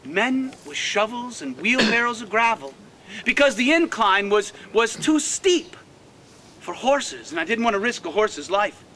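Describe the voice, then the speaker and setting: A man's voice: high voice, sing-song, unhurried, bright, forceful tone, full character voice. A man playing a proud nineteenth-century park designer, telling his life story earnestly to a tour group outdoors. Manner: earnest, emphatic, addresses the crowd directly. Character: proud old Swiss-born park designer. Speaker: costumed tour guide, historical reenactor. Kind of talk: first-person living-history storytelling. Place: outdoors by a prairie on a walking tour.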